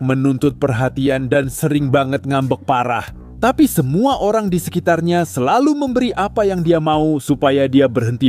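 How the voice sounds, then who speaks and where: A man's voice: medium pitch, darkish tone. A man giving comic, sarcastic scripted narration with an exaggerated ranting delivery.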